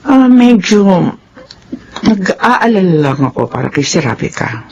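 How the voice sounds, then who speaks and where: A woman's voice: mid-range voice, sing-song, measured pace, a little mellow, lo-fi sound, a little hiss or hum. An actress playing a strict, worried middle-aged mother in a Philippine radio drama, brooding and suspicious.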